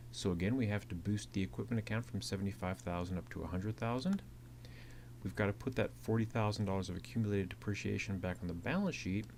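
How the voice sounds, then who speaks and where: Male instructor, fairly low voice, methodical slide-lecture narration, slight hum on the recording. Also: a little mellow. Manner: methodical, repeats points. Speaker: accounting instructor. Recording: clearly audible hiss and hum.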